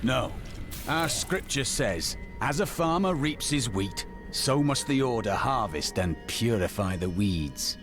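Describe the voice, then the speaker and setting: An actor playing a solemn, zealous templar warrior in a video game, speaking formally and earnestly. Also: male voice, mid-range voice, animated, measured pace, over music, close to an everyday voice. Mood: pious. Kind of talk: video game character dialogue.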